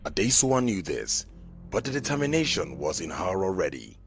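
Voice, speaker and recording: A male storyteller with a very deep, gravelly voice narrates a folk tale. His delivery swings expressively up and down as he reads the scripted story. Music plays behind him. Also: sing-song, moderate pace. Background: background music.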